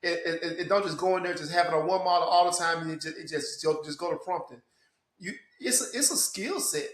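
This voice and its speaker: A man's voice: on the high side, quick pace, fairly bright tone, roomy sound. A man from Memphis hosting a casual tech podcast chat, stumbling and repeating words as he explains. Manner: repeats words and restarts often. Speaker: podcast host.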